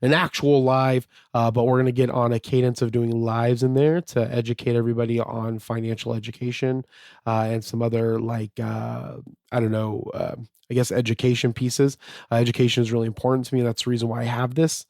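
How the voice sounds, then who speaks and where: A man hosting his own podcast, talking casually and a bit slightly raspy from a fever, with lots of fillers and restarts. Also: mid-range voice, little pitch movement, a little fast, darkish tone, dry recording, clean studio sound. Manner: rambling, many fillers and restarts. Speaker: podcast host. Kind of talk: casual solo podcast monologue.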